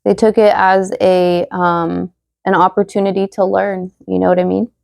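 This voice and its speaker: A young woman giving a casual tarot reading to viewers, chatty and full of fillers, slightly hoarse as she loses her voice. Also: mid-range voice, flat delivery, normal speed, a little mellow, dry recording, clean studio sound, soft background music. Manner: chatty, many fillers, reads card messages aloud. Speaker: tarot reader.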